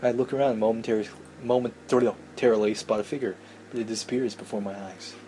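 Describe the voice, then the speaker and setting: Guy reading a visual novel out loud, snarky little asides, bit of background noise. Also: lowish voice, fairly even intonation, fairly quick, poor audio. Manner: sardonic asides, breaking off to joke. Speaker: let's play host. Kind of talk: reading a visual novel aloud with casual commentary.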